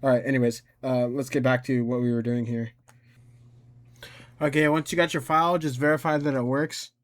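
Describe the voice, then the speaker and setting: A man with an average-pitched voice walks viewers through a software tutorial. His delivery is casual and unpolished, and he often talks to himself, thinking aloud while he explains each step. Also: fairly even intonation, normal speed. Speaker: tutorial creator and presenter.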